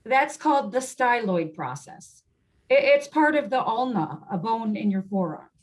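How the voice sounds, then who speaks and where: An actress as a matter-of-fact doctor in an online play reading. Her voice sits fairly high, and she explains things in a measured, clinical way. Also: expressive. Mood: professional, patient. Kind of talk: live play reading over video call. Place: indoors, remote video call performance.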